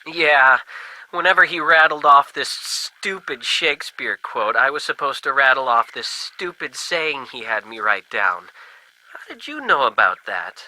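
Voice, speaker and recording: A skeptical, working-class radio hobbyist for a video game. His voice is very bright and sharp, pitched in the middle range, and he speaks in folksy slang like a wisecracking regular guy. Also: expressive, measured pace, poor audio. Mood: stubborn.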